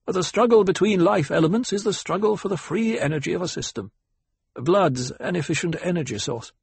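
A man narrating a novel puts on a quietly formidable ecologist. The pitch sits raised and the delivery is measured and lecturing. Every phrase carries calm assurance. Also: vocal fry, husky, no room sound, exaggerated voice.

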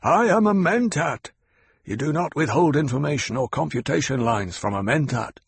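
A man's voice: a little high, animated, normal speed, gravelly, slightly rough, no room sound, pitched above the performer's own voice, full character voice. A man voicing an old, shrewd male Mentat advisor who speaks sharply and scornfully. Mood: cold, cutting. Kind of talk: audiobook character voice.

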